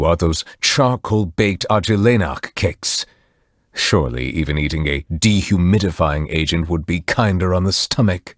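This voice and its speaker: A deep-voiced, courteous otherworldly judge from a video game, with a husky edge. He speaks formally and with reserve, choosing each word with care, yet his intonation moves widely. The sound is close and dry. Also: dry recording. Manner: formal, measured, eloquent, reserved. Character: solemn, refined male judge, courteous otherworldly being. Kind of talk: scripted game character voice lines.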